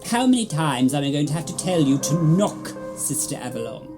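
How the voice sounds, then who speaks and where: An actress playing a stern, sanctimonious alien nun in a sci-fi audio drama, with a commanding manner. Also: female voice, sits low, sing-song, fairly quick, over music. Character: stern, pious, domineering alien nun in charge of hospital.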